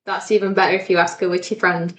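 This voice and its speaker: A woman's voice: lowish voice, level tone, fast, a little hiss or hum. A woman co-hosting a podcast in casual, informal chat with an encouraging way of talking. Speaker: podcast co-host. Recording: echoey, roomy sound.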